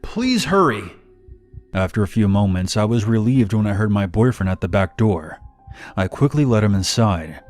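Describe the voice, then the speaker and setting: A man's voice: lowish voice, normal speed, warm tone. A man reading a scripted first-person horror story as narration. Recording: music bed behind narration. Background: background music.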